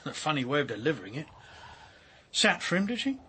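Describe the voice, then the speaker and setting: An actor playing a gruff, sardonic male police inspector in a radio drama, speaking in a blunt, wry, clipped way. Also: on the high side, very animated, a little fast, pushed and bright, a little hiss or hum. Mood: probing, wry. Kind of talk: acted British radio drama dialogue.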